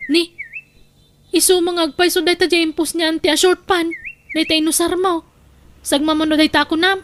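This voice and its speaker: A woman's voice: very high voice, flat delivery, normal speed, fairly bright tone, a little hiss or hum. A woman with an Ilocano accent playing an inquisitive, gossipy but sympathetic cousin in an audio drama. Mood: gossipy surprise.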